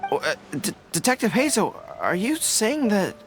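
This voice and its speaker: Game role: honourable military leader, high-pitched and expressive, formal, sincere, quick to apologise. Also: male voice, faint music behind. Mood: surprised, grateful. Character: earnest, honourable young male army general. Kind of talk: video game voice acting.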